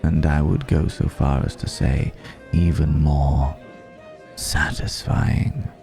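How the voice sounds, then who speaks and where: An extremely low, mellow male vampire played by a man murmurs in an intimate ASMR roleplay, with soft music behind him. He is centuries old, urbane and quietly predatory, with a gravelly creak. His delivery is measured and reflective, lingering in the pauses. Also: full character voice. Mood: silky, predatory.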